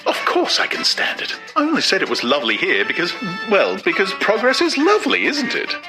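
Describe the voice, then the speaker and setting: A man's voice: sits high, wildly swooping pitch, brisk, music in the background, voice pushed up. A male narrator voicing a cheerful, shallow young woman who speaks politely and gushes. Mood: gushing.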